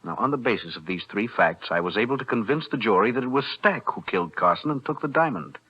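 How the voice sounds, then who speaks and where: An actor playing a shrewd, composed defense attorney, measured and insinuating, in 1940s radio thriller style. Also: male voice, medium pitch, lively intonation, husky. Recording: dull vintage radio recording.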